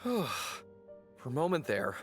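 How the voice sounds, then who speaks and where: An actor playing a proud, plucky knight comrade in a video game. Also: male voice, mid-range voice, wildly swooping pitch, slow pace, a bit bright, faint music behind, exaggerated voice. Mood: weary, stoic.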